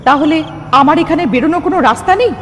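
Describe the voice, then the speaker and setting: Woman voicing a high, quick, determined young heroine. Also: fairly bright tone. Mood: earnest, determined. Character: determined young heroine seeking to save a village. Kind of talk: dramatic dubbed cartoon dialogue. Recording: long hall reverb, faint music behind.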